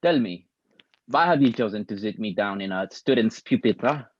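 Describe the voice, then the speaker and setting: An actor playing a stern, impatient old theater professor at a play table read, brusque and condescending. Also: male voice, fairly low pitch, animated, normal speed, husky, no echo, dull audio. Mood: dismissive.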